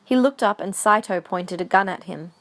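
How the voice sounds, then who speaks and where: A woman's voice: mid-range voice, normal speed. A woman reading scripted story narration for an audio drama.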